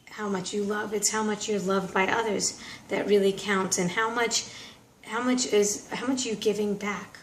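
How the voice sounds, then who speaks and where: A woman vlogger talking casually and earnestly in a rambling, reflective way, her voice slightly hoarse from a cold. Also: medium pitch. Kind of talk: casual reflective monologue to camera. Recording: roomy, distant mic, faint background noise.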